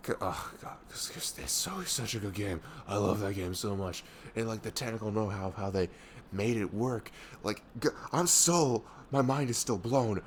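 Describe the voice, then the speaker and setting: A man's voice: lowish voice, moderate pace, slight background noise, lightly acted. A man playing a young, affectionate, nerdy best friend in an intimate ASMR roleplay, rambling informally with hesitant, self-deprecating asides. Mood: amazed, enthusiastic.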